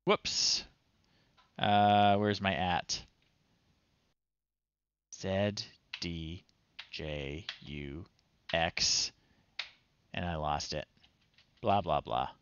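A man's voice: low voice, very slow, long pauses, smooth, no echo, muffled recording. A middle-aged man casually commentating a live game stream, reading screen text aloud in a wry, off-the-cuff way, close on the mic. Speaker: retro game streamer, host. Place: small indoor room, livestream setup.

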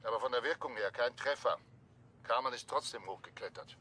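An actor playing a laconic, disillusioned freelance journalist in a German radio play, speaking tersely. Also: male voice, mid-range voice, fairly quick, pushed and bright, slight background noise, lightly acted. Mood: resigned.

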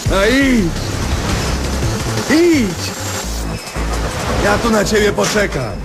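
A man's voice: high voice, very animated, very slow, heavily acted. An actor playing a menacing, taunting guide in a radio play, theatrical and challenging, drawing out his words. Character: menacing, taunting male guide guarding a forbidden door. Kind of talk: radio drama acting. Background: loud music.

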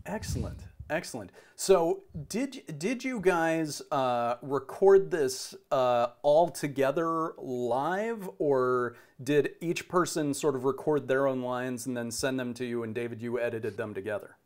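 A middle-aged man hosting a conversational interview on a video call, feeling his way through questions with halting, repeated words. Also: medium pitch, darkish tone. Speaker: interviewer and show host. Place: indoor room at home.

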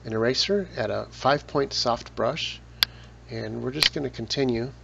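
A man talking through a photo editing tutorial step by step, relaxed, with casual asides. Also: mid-range voice, moderate pace, creaky. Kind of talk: screen-recorded software tutorial. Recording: dull lo-fi voice with loud noise.

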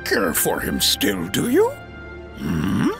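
An ancient, tiny, wise male alien master in an audio drama. The voice is slow and croaky, leaping about in pitch, with word order turned backwards. Loud music plays behind. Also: wildly swooping pitch, exaggerated voice. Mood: grave, musing. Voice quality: croaky, gravelly. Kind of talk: fan audio drama acting.

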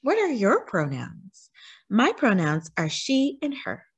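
A woman with a husky voice reading a children's picture book aloud expressively, putting questions to young listeners. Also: fairly high pitch, wildly swooping pitch, takes it slowly, dry recording. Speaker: read-aloud storyteller for children.

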